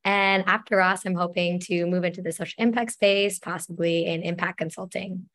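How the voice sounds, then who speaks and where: A woman's voice: lowish voice, fairly even intonation, normal speed, fairly bright tone. A young woman from New Jersey, a podcast guest, speaking while losing her voice.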